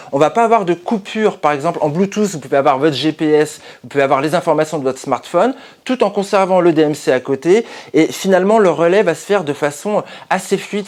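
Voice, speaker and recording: A male reviewer speaks in a fairly high register. He hosts a channel and walks through products in a casual, explanatory style. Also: darkish tone.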